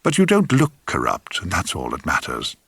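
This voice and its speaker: A man voicing a calm, amused film director in an audiobook, asking questions in a measured, deliberate way. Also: sits low, gravelly, slightly rough, pitched below the performer's own voice, exaggerated voice. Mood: mildly amused.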